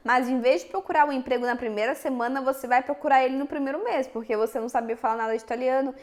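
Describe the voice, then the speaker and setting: A woman's voice: high voice, steady tone, fast talker. A young Brazilian woman with a Minas Gerais accent, chatting frankly and directly to her viewers in a casual vlog. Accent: Minas Gerais Portuguese. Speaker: vlogger. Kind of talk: casual chat to camera.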